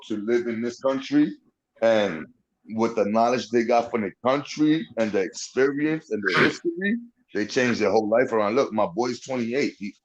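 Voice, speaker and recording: A man's voice: medium pitch, muffled recording. A man in his forties, from the Dominican Republic, talking bluntly and profanely in casual chat, often tagging on "you know what I'm saying". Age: 40s. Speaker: podcast host.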